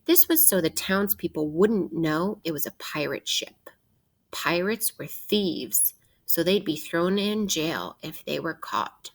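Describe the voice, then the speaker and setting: Woman, teacher, lowish pitch, very slow pace, deliberate, instructive, interactive children's read-aloud. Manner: deliberate, instructive, posing questions to young listeners.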